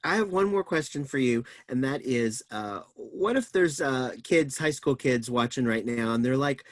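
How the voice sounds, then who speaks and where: A man hosting a casual theater talkback, pausing and searching for his words. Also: medium pitch, animated, no room sound. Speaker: stage directions reader and talkback host. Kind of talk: reading stage directions, casual moderated talk.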